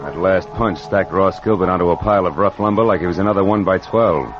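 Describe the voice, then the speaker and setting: Casting: man to play a wry American detective narrator, deep and punchy, muffled old-time radio drama sound. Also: fast, lightly acted. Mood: tense, dry. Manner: sardonic, punchy. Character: wry hard-boiled private detective narrator. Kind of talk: 1940s radio noir narration. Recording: muffled vintage radio, music behind.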